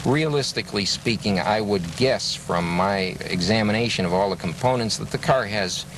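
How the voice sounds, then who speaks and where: Low-voiced man, slightly distant mic. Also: normal speed, fairly bright tone. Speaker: car club interviewee. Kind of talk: unscripted interview talk. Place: outdoors at a car meet. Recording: noisy outdoor pickup, slightly distant.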